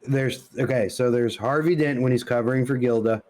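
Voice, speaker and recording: A man's voice: medium pitch, fairly quick, darkish tone, a little hiss or hum. A man co-hosting a podcast in loose, casual chat, peppering his talk with slang. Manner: informal, loose, says dude and like often. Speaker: podcast co-host. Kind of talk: casual chat about comics and films.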